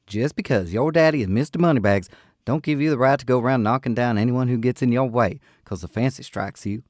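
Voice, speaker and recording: A man voicing a fiery, fearless young woman of the Old West, shouting defiantly in folksy talk for an audiobook. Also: mid-range voice, brisk, no echo, clean studio sound, voice pushed up, natural voice. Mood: defiant anger.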